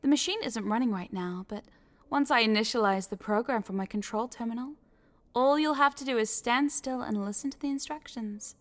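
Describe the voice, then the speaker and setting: 女性が演じる見下すように甘く誘う腹黒い女社長. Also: female voice, fairly high pitch, brisk, close to an everyday voice. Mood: amused, commanding. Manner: condescending, teasing, businesslike, coaxing. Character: scheming female corporate boss, smugly controlling, coaxing. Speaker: voice actor. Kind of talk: scripted audio roleplay addressed to the listener.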